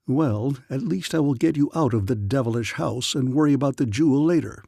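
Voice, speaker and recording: A man as a blunt, gravelly hero thief. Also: medium pitch, a little fast, warm tone, no room sound, clean studio sound. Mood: resolute. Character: bold, terse male master thief, fantasy hero.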